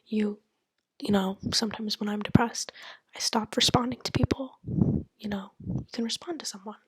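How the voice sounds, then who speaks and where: A woman's voice: medium pitch, steady tone, moderate pace, no room sound, low-quality recording. A young woman whispering a candid, rambling monologue to the camera, full of "like" and "you know". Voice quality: breathy whisper. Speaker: vlogger.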